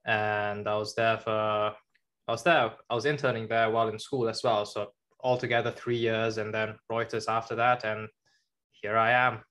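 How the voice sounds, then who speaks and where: A man's voice: on the low side, little pitch movement, moderate pace, a bit bright. A young man, born in China and raised in Germany, answering interview questions as a guest in a plain, matter-of-fact way over a video-call headset. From born in China, grew up in Germany. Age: young adult. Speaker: guest, journalist and author. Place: home room with a kitchen behind.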